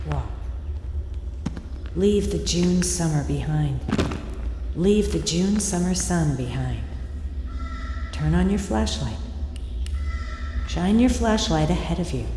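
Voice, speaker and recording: A woman playing an eerie audio tour guide in an audio drama, giving deliberate instructions with hypnotic repetition. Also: fairly low pitch, background noise. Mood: hushed, foreboding. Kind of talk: scripted audio drama narration. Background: ambient field recording and foley sounds.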